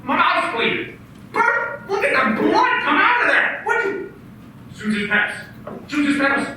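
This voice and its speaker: A high-voiced performer playing George, a desperate young man who pleads and shouts for help, recorded from far off in an echoey hall. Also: big pitch swings, measured pace, noisy background, exaggerated voice. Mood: overjoyed. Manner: pleading, then frantic shouting, then overjoyed.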